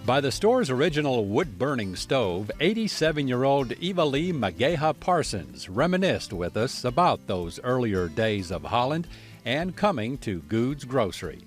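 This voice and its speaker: A man hosts a television feature and narrates between interviews. He asks gentle, prompting questions at a middling pitch. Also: big pitch swings, normal speed, soft background music. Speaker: TV host and interviewer.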